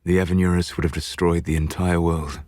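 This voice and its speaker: A man playing a solemn, regretful ancient elven mage in a video game, speaking in measured, formal phrases. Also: sits low, little pitch movement, darkish tone, dry recording, clean studio sound, close to an everyday voice. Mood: grave, regretful. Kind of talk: video game character dialogue.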